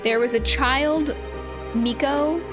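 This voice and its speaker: A woman's voice: high-pitched, slow pace. A woman giving casual game commentary as a streamer, chatty and playful, often repeating words. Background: game music.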